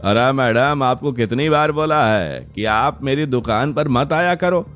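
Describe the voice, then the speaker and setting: Guy doing a annoyed rude shopkeeper, voice pushed higher, scolding, cartoon style. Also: expressive, unhurried, fairly bright tone, soft background music. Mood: irritated, dismissive. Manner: irritated, scolding. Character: rude, annoyed male shopkeeper shooing a customer away. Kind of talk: cartoon story acting.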